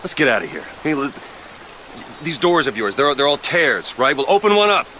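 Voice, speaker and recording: A man's voice: a little high, sing-song, normal speed, a little hiss or hum, rough recording. An actor playing a blunt, desperate, guilt-ridden father in a dramatic video game scene. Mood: impatient, insistent. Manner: blunt, emotionally charged. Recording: loud music over the voice.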